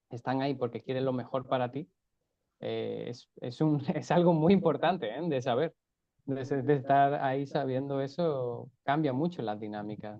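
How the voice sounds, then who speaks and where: A man's voice: medium pitch, no echo, lo-fi sound. A man moderating an online event, reflective and self-correcting as he comments on a talk. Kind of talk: casual moderating.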